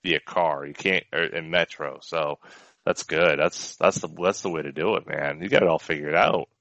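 Dull, slightly lo-fi audio carries a low-voiced American man co-hosting a sports podcast. He chats in an easygoing, conversational way and often repeats phrases. The sound is dry and free of echo. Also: normal speed, vocal fry, fairly bright tone. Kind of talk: casual sports chat. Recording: dull, band-limited audio.

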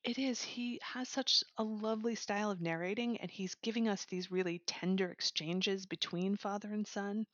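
A woman's voice: mid-range voice, fairly even intonation, moderate pace, few pauses, dry recording. Chatty woman with a dull, dry sound. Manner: enthusiastic, chatty, laughs while talking. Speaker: audiobook reviewer, guest. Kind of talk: casual chat summarizing a book.

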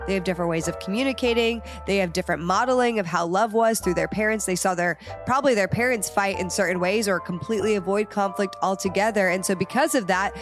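A young woman with a light lilt talks through her podcast in an upbeat, encouraging way. A head cold leaves her sounding nasal, and music plays clearly beneath her voice. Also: medium pitch. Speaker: podcast host. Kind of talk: casual podcast chat.

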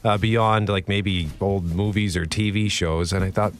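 A man with a deep voice hosting a casual radio show, telling a story in a lively, exasperated way. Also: unhurried, slight background noise. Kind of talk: casual morning radio chat. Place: radio studio.

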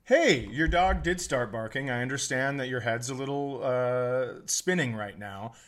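American guy doing comedy podcast chat, medium pitched voice, jokey and swears casually. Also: measured pace. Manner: profane, joking.

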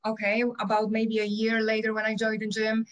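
A woman's voice: fairly high pitch, monotone, a little fast. A middle-aged woman with a Polish accent, a gym owner telling her story casually in an interview, with many fillers and restarts. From Poland. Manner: chatty, many fillers and restarts, quotes herself. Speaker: gym owner and personal trainer.

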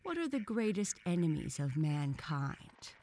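Woman voicing a pompous, severe old-school frog professor, slow delivery. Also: fairly low pitch, sing-song, warm tone, a little hiss or hum. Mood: triumphant. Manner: pompous, sarcastic, lecturing. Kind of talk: children's storybook reading.

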